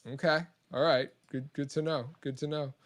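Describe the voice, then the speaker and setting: A man's voice: a little high, steady tone, moderate pace, heavy background noise. Nasal-voiced male live-stream host. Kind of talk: casual live-stream chat. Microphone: phone.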